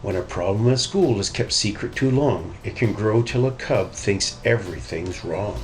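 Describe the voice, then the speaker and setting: A man narrating a children's story in an expressive read-aloud style. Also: fairly low pitch, normal speed, faint music behind. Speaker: storybook reader.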